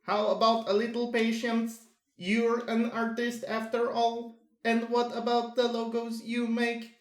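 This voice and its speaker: A supportive but scolding comic-book sidekick, played by a man, pitched up and slow to speak. The room has a clear echo. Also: monotone. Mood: firm encouraging. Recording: echoey room.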